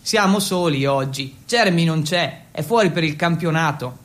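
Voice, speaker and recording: Man as awkward Italian exchange student, high voice, intense, confessional, radio monologue. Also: moderate pace, fairly bright tone, close to an everyday voice. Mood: confiding. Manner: intense, confessional, switching between Italian and English. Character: excitable, awkward Italian teenage exchange student telling his story. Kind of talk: dramatic first-person monologue for radio.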